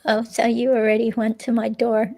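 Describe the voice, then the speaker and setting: A woman's voice: a little high, completely flat delivery, darkish tone, natural voice, poor audio. A woman reading the part of a hesitant, chatty ex-girlfriend questioned by police in a dramatic transcript reading. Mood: hesitant, casual. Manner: hesitant, halting, casual.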